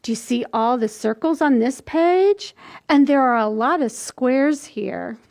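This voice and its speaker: An older woman in her sixties hosting a children's storytime, reading aloud and explaining things simply and deliberately for small children. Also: high voice, expressive, gravelly, a little mellow. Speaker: library storytime host. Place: small indoor room.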